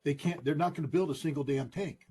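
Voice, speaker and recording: A man's voice: a little high, brisk, dark tone. A man gives blunt, emphatic political commentary. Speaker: guest commentator.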